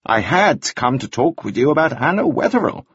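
A man voicing a cheerful, breezy and courteous gentleman who talks in a genial, chatty way. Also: on the high side, big pitch swings, moderate pace, husky, dry recording, dull audio, voice pushed up, exaggerated voice.

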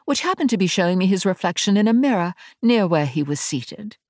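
Woman voicing a brisk Englishwoman, pitched up. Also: big pitch swings, dry recording, studio quality. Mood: dry, precise. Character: brisk Englishwoman tech specialist speaking through a drone.

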